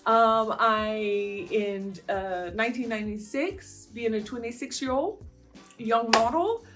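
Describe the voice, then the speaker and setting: A fairly high-voiced American woman in her fifties talks through viewer questions in a loose, meandering way. She leans on fillers as she goes. Soft music plays faintly underneath. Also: slow. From United States. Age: 50s. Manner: chatty and meandering, with frequent fillers. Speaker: vlogger answering viewer questions. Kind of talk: casual Q&A monologue.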